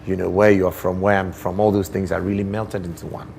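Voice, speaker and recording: A Ghanaian man explaining things as a guest in a TV interview, expressive and deliberate, often posing rhetorical questions. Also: low voice, creaky, slight background noise. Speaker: guest poet and storyteller.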